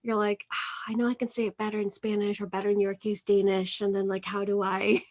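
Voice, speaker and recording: A woman from Venezuela hosting an interview, chatting in a lively, friendly way. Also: medium pitch, fairly quick. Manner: chatty, animated, laughs. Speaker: podcast host. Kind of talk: casual conversation.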